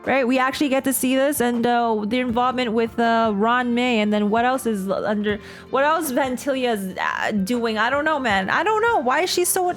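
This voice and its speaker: A woman streamer giving casual, excited reaction commentary in an exclamatory, slangy way. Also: fairly high pitch, normal speed, faint music behind. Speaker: streamer reacting to a game story.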